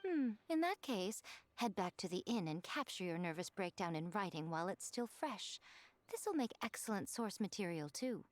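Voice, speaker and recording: A woman's voice: mid-range voice, very animated, normal speed, close to an everyday voice. A woman playing a poised, teasing, self-assured chief editor in a video game, speaking in elegant phrases. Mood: sly delight. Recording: music behind the voice.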